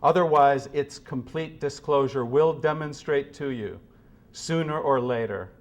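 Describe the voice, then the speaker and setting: A man with a mid-pitched voice addresses a gathering. His delivery is solemn and deliberate. He phrases things in a measured way, suited to philosophical prose presented aloud. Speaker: speaker at a meeting. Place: meeting room with an audience.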